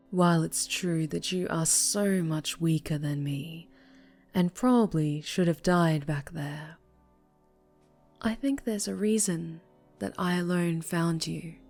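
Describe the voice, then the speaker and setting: Woman voicing an obsessive superhero captor, lowish breathy voice, unhurried, cooing and whispering, sweetly sinister, ASMR roleplay. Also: full character voice. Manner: breathy cooing that slips into whispers and soft menace. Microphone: close microphone.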